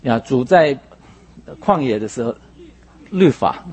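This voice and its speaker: A man interpreting a sermon phrase by phrase, husky and somewhat halting, rephrasing as he goes. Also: medium pitch, lively intonation, a little mellow.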